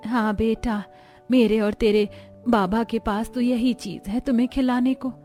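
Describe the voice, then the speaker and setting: A woman voicing a poor, loving village mother in a cartoon story, gentle, sorrowful and apologetic. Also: on the high side, fairly even intonation, a little slow, natural voice. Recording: music behind voice.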